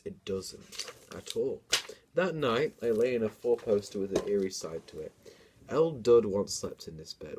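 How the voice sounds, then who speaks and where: A man's voice: sits low, a little mellow, slightly rough. A young man doing a dramatic reading of a story, breaking into casual, self-mocking asides. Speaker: comedian reading own childhood book.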